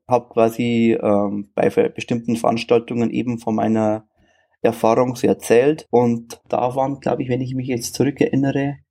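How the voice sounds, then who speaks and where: A Bavarian-accented man in his early thirties talks as an interview guest, easygoing and chatty. His voice sits at an ordinary middle pitch, and he fills his pauses with little hesitation sounds as he thinks aloud. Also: creaky. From Bavaria, Germany. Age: 30s. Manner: relaxed and chatty, with frequent filler sounds. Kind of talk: casual conversational interview.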